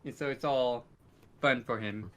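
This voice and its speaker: A man's voice: medium pitch, steady tone, measured pace, a bit bright. A man chatting casually as a podcast co-host, giving his opinions in an informal way. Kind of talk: casual chat about favourites.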